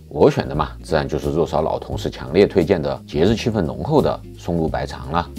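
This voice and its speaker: Very deep, lively, fast male narrator. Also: gravelly, soft background music. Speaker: vlog narrator. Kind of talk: scripted, playful voice-over narration.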